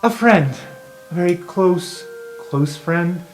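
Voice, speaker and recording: A man's voice: high voice, lively intonation, takes it slowly, faint music behind, voice pushed up, rough recording. A man voicing a nervous, bitter young bride in an improvised fantasy roleplay.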